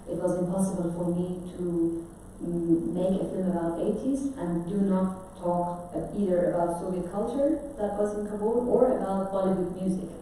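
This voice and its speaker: Woman from afghanistan, film director, dark mellow voice, thoughtful restarts, echoey auditorium, distant mic. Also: on the low side, steady tone, normal speed, hardly any pauses, slight background noise. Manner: thoughtful, speaks with frequent restarts and fillers. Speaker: film director, guest speaker. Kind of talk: masterclass talk. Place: large auditorium.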